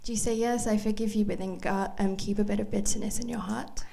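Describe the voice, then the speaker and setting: A woman interpreting a sermon phrase by phrase, with fillers and quick self-corrections. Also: mid-range voice, fairly even intonation, creaky, warm tone. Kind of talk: live consecutive interpreting of a sermon.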